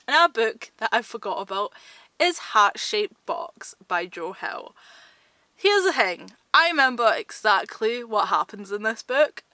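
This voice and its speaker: A woman with a high, very bright voice talks straight to viewers in an informal book-vlog style. She is chatty and self-deprecating, and the sound is dry and close, with very little room in it. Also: sing-song, measured pace, no echo. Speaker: book vlogger. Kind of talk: casual book chat to viewers.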